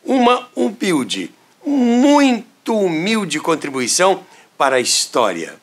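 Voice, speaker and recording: Slow, animated Brazilian man narrating. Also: high-pitched. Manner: deliberate, rhetorical, dramatic phrasing. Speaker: host of a radio history show. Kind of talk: scripted narration. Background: music.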